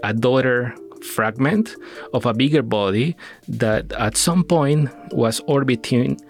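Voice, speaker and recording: A man's voice: medium pitch, takes it slowly, faint music behind. A middle-aged man from Peru, talking as a scientist guest on a podcast, with many fillers and restarts. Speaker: astrochemist guest. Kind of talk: podcast interview.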